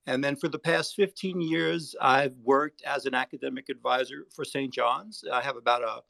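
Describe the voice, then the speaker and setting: Man, middle-aged, New York accent, creaky voice, dry close sound, measured academic advisor on a panel. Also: medium pitch, bright, forceful tone, no room sound. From United States, New York area. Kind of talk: unscripted panel discussion.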